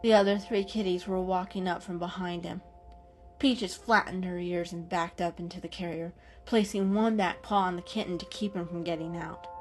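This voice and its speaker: A woman's voice: lowish voice, fairly even intonation, moderate pace, soft background music. A woman giving a scripted, dramatic storybook narration as the storyteller.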